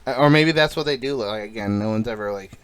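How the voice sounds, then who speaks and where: A man's voice: fairly low pitch, quick pace, clear, resonant tone. A young man co-hosting a casual podcast chat, rambling with lots of fillers and crude jokes. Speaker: podcast co-host.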